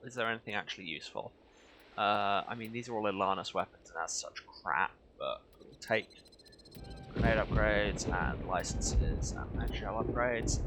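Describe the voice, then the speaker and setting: A man's voice: lowish voice, heavy background noise. A man streaming a video game with casual, wry, grumbling commentary and a sardonic way of talking.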